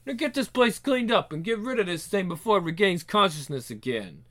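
Man acting a mob boss, crude, menacing, forceful bright voice, animated pitch, audio drama. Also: a little fast. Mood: barking orders. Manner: sneering, swearing, forceful.

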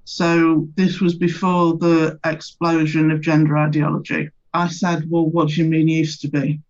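Brisk woman giving a testimony. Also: sits low, level tone, darkish tone. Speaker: interviewee. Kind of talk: interview testimony. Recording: slightly muffled.

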